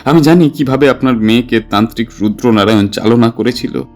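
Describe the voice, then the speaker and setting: Male actor as young village father, desperate and determined; mid-pitched, earnest horror-drama delivery over music. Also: fairly quick, close to an everyday voice. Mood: insistent. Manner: earnest, pleading. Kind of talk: dramatised horror audio story acting.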